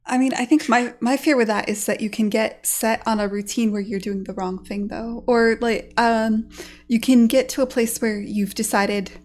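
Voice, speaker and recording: A woman hosting a casual interview, curious and quick to react with short interjections. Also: on the high side, steady tone, darkish tone. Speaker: podcast host.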